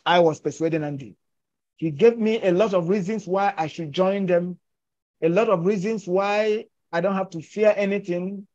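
An older man speaks in an emphatic, persuasive way, driving home each point. His voice sits high for a man. It comes through a muffled, dull-sounding video call. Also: normal speed, darkish tone. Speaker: filmmaker and actor discussing his film. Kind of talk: conversational storytelling. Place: indoors at home, virtual backdrop.

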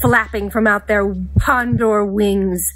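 A middle-aged woman giving a dramatic, theatrical gothic poetry recitation, hushed at times. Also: mid-range voice, fairly even intonation, slow pace, soft background music. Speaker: actor reading a poem.

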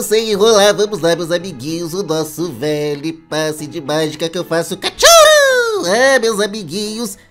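A man's voice: high-pitched, a bit bright. Cartoon sponge puppet played by a man: childlike, playful reaction host, Brazilian Portuguese, casual patter over light music. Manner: playful, repeats catchphrases to little friends.

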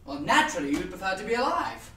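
A young man acting a morbidly musing, rambling character in a halting theatre monologue. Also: sits high, big pitch swings, pushed and bright, pitched above the performer's own voice, full character voice. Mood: uneasy musing. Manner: halting, conversational musing with swings in volume. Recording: roomy, distant mic with noise.

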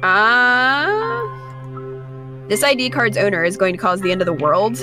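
A woman's voice: mid-range voice, animated, unhurried, sharp tone, faint music behind. A young woman playing a visual novel, reading lines aloud and reacting in casual chat. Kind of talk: casual let's play chat and read-aloud.

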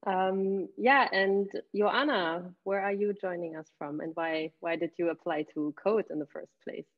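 A woman with a fairly low voice leads a remote panel, asking questions and thanking each speaker. She speaks in a halting, friendly way with many fillers, and the video call audio sounds dull. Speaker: event moderator.

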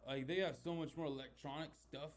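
A man's voice: on the high side, fairly even intonation, a little fast, a loud crowd. A man of about thirty chatting casually as a guest on a podcast. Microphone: podcast microphone with headphones.

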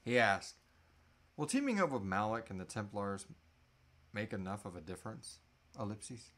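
A man's voice: fairly low pitch, animated, moderate pace, fairly bright tone. Loose, joking man in his thirties. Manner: loose, joking, casual swearing. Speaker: podcast co-host answering listener questions. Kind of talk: casual live Q&A chat. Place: small indoor room.

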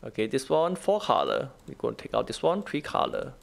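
A man who is not a native English speaker, giving a casual gameplay walkthrough in halting, repetitive short phrases. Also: mid-range voice, wildly swooping pitch, creaky. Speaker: gaming guide host.

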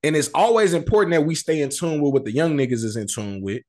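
A man with a somewhat high-pitched voice discusses street news in a casual, slangy way. He swears freely and keeps checking that his listener follows him. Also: sing-song, fast, a little mellow. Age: middle-aged. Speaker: commentator on street and rap news. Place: indoor room, video call.

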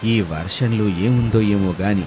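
A man's voice: on the low side, a little slow. A man reads a scripted story as its narrator. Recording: music bed behind voice.

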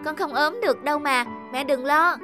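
A woman voicing an eager, kind little boy in an animated fable, polite and coaxing. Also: high-pitched, moderate pace, lightly acted. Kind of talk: animated moral fable voice acting. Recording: music behind the voice.

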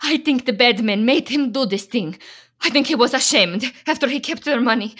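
An actress playing a desperate Slovak immigrant wife in a radio play, pleading and defiant in halting, Slovak-accented English. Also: female voice, a little high, expressive, fairly bright tone. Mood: anguished confession.

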